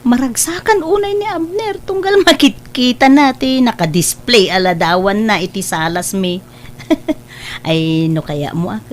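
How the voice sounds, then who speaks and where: A woman's voice: medium pitch, natural voice. A woman voicing an affectionate aunt in a family drama, with faint hum behind. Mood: pleased. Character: caring aunt, warm and doting.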